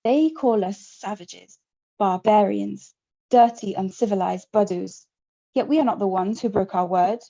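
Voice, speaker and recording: A defiant male rebel orator rallies a crowd with a fiery, rousing speech, as a woman reads his lines aloud. The tone is mellow and warm. The audio is dull and lo-fi. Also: medium pitch, measured pace, vocal fry.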